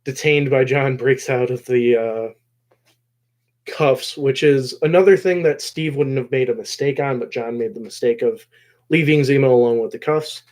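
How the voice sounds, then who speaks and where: Man giving a halting, casual episode recap. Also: mid-range voice, a little mellow. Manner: rambling, halting, pausing mid-phrase. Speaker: host of a show discussion video.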